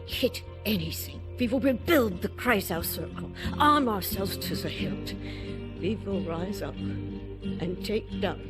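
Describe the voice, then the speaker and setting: A woman playing a commanding, weary but determined resistance leader in a video game cutscene. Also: lowish voice. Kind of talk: cinematic video game acting. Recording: music behind the voice.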